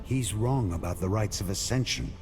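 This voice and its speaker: An actor playing a grim, determined warrior hero in a video game, speaking in a terse, resolute manner. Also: male voice, fairly low pitch, normal speed, noisy background, lightly acted. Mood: defiant, urgent. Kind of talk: video game cutscene acting.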